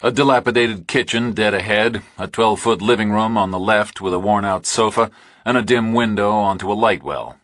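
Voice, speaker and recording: A man narrating a thriller audiobook in a measured, precise, deliberate way. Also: lowish voice, fairly bright tone, no echo.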